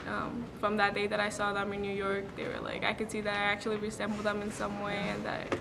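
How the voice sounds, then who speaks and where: A young woman in her late teens, from the Dominican Republic, speaks earnestly about her life in a recorded interview. Her delivery is reflective and level, with little rise and fall, and she stops often to gather her thoughts. A clear layer of background noise runs under her voice. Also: medium pitch, monotone, quick pace. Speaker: student interviewee. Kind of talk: personal interview answers. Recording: noisy background hiss.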